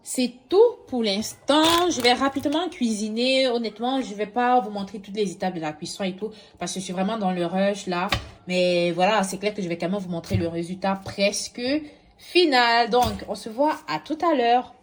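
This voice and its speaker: A woman with a cold chats to her camera at home after a long workday. Her voice is hoarse and tired, and she fills pauses with little fillers. A faint background hiss sits under the camera recording. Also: medium pitch. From now living in Canada. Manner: tired, chatty, many fillers. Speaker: vlogger. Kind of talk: casual vlog chat. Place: home kitchen.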